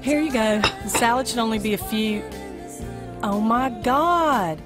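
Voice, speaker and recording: A woman's voice: on the high side, a little slow, close to an everyday voice. Woman playing a plain-spoken diner waitress, music behind. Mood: starstruck. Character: weary mid-thirties female diner waitress, brusque, turning starstruck fangirl. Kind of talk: amateur audio play acting.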